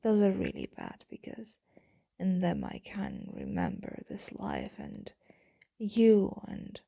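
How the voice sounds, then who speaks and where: A woman playing a tender, tormented female vampire lover in an intimate, brooding ASMR roleplay monologue, in a breathy, husky whisper. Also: mid-range voice, wildly swooping pitch, no echo, full character voice. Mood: wistful, soft. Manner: slow, brooding, hushed delivery.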